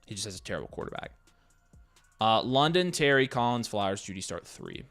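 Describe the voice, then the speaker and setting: American guy on a sports q&a stream, lowish voice, chatty and thinking out loud, faint music. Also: sing-song. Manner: conversational, thinking aloud, reads questions then gives quick picks. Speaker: fantasy football livestream host. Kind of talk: casual live Q&A, answering viewer questions.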